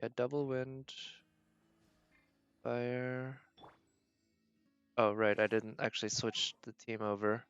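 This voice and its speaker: A man's voice: medium pitch, fairly even intonation, slow, pauses often, a bit bright, smooth, no echo, soft background music. Male game streamer, dry slightly dull sound. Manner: thinking aloud, narrating own decisions. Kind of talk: casual live gameplay commentary.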